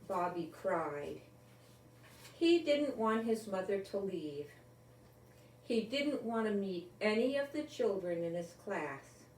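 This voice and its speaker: An older woman, a grandmother storyteller, reading a children's book aloud in a deliberate, expressive manner. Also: medium pitch. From Rhode Island, United States. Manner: deliberate, expressive, with repeated emphasis on key words. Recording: roomy, echoey, distant from the mic.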